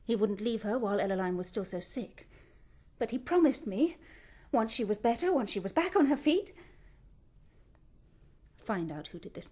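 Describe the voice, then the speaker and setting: A grief-stricken Victorian actress in a radio play. Her voice is mellow and dark, and she talks with hushed intensity, often falling to a bare whisper. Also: fairly high pitch. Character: grieving Victorian actress, lover of a murdered star. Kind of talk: radio drama acting.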